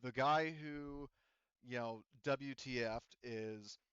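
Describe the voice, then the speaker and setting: Clear-voiced man narrating a tabletop game. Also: mid-range voice, fairly bright tone, no room sound, dull audio. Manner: halting, conversational, self-correcting. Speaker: game master of a tabletop roleplaying game.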